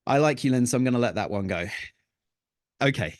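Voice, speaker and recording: A man giving an illustrated talk on the history of the northern lights, telling it as a lively story with playful asides. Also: medium pitch, a little fast, dry recording, clean studio sound. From Danish heritage. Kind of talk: illustrated presentation with slides.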